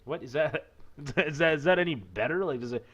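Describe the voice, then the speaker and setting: A man's voice: fairly high pitch, expressive, brisk, fairly bright tone, husky, lo-fi sound. A man narrating a visual novel playthrough, with an informal, self-correcting manner and casual asides. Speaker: let's play narrator.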